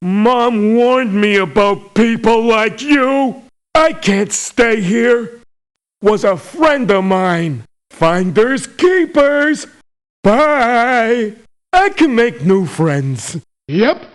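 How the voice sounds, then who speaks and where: An actress playing a childlike, touchy mercenary in a video game, speaking in simple, halting phrases. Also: female voice, fairly high pitch, animated. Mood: sulky, halting. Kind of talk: video game character voice lines.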